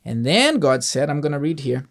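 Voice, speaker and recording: A man's voice: a little high, sing-song, normal speed, gravelly. A man hosting a Bible reading, patiently explaining scripture to a child with coaxing questions. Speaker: host of a Bible reading show.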